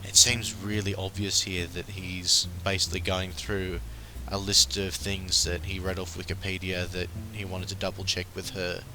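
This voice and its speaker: A man's voice: sits low, little pitch movement, moderate pace, few pauses, bright, forceful tone, slight background noise, low-quality recording. An Australian man hosting a pop culture podcast, talking casually with lots of ums and uhs. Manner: chatty, frequent ums and uhs. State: recovering from flu. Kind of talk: casual solo commentary.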